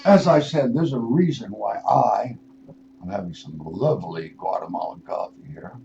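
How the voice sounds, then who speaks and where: Older man, gravelly mellow voice, rambling philosophical radio monologue, halting delivery with emphatic stresses, dull lo-fi sound. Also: fairly low pitch, animated. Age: 60s or older. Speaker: radio show host. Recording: dull lo-fi radio audio with noise.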